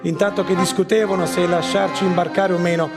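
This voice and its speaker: High-voiced man narrating over loud music. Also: little pitch movement, brisk. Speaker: narrator in a radio theatre piece. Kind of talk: literary dramatic reading over live music. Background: accordion and prepared piano music.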